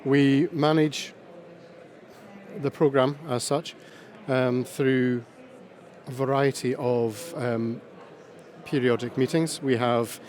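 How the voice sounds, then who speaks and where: A man speaking at an awards panel, halting and full of ums and pauses. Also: medium pitch, steady tone, darkish tone. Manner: halting, many ums and uhs, slow pauses. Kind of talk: hesitant conference talk.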